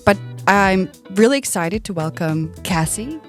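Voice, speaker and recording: A woman's voice: medium pitch, animated, a little slow, faint music behind. A woman co-hosting an interview podcast who welcomes her guest in an upbeat way. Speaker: podcast co-host. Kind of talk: podcast hosting, scripted intro.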